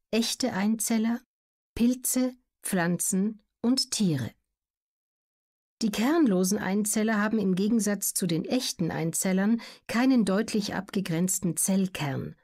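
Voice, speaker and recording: A woman reading scripted educational narration in a measured, neutral, carefully articulated way. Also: mid-range voice, darkish tone. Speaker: audiobook narrator.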